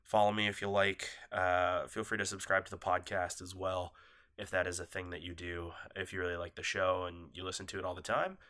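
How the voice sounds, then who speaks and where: Podcast host, man, congested and nasal, loose apologetic chat, bright edge to the tone. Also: deep voice, level tone, fairly quick. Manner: rambling, apologetic, loose and laid-back. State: nasal from a cold, coughing. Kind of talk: casual solo podcast chat. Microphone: phone set down nearby.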